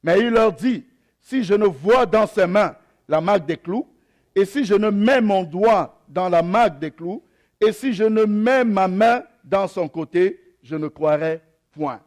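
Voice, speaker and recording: A young adult man, a pastor whose first language is an African mother tongue, reads scripture slowly and then preaches with hesitations and repeated phrases. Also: high voice, expressive, darkish tone. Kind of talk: sermon.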